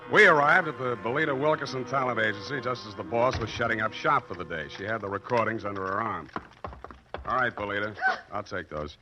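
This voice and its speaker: An actor playing a dry, sardonic private detective in an American 1940s radio drama, with music in the recording. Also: male voice, lowish voice, a little fast, muffled recording, natural voice. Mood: wry narration. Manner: dry, sardonic, hesitant with uhs. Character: wry, hard-boiled male private detective. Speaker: actor playing the lead and narrator.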